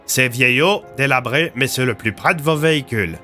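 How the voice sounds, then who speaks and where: A man playing a knowledgeable local guide in an audio drama, explaining in a measured, helpful way. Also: medium pitch, pushed and bright, faint music behind, natural voice.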